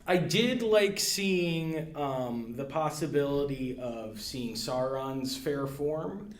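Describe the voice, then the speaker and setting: A man who is a podcast guest, talking casually and hesitantly, with many pauses and ums. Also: on the high side, animated, a little hiss or hum. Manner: hesitant, lots of ums and hedging. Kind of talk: casual chat. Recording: echoey room.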